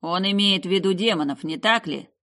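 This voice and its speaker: A woman voicing a polite, erudite male necromancer in an audiobook, speaking in a measured, courteous way. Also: fairly low pitch, a bit bright, lightly acted. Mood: cautious.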